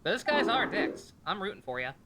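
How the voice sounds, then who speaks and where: A woman's voice: mid-range voice, sing-song, normal speed, heavy background noise. A woman live-streaming a game with casual, joking, sweary, rambling commentary. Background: game music.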